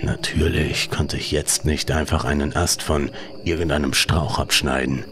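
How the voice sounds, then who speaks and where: A man narrating a scripted first-person horror story in a suspenseful, measured way, with some breathy, hushed phrasing. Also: a bass voice, little pitch movement, very noisy.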